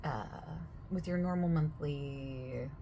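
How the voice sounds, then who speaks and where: A young woman speaks softly and gently, playing gym staff in an ASMR sales roleplay. Her voice sits on the lower side, and she over-explains everything in a chatty, unhurried way full of little fillers. A faint hiss hangs behind her.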